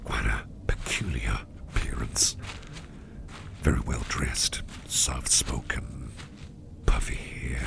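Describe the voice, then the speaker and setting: Male ASMRtist playing a fanged boss, very deep and gravelly whisper, chatty and secretive, steady background noise. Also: slow pace, full character voice. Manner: soft rambling whisper. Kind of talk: ASMR roleplay.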